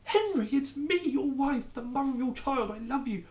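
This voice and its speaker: A narrator voicing a distraught queen accused of treason, pleading in a high, breathless voice. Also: very animated, moderate pace, slight background noise. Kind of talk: storybook character reading.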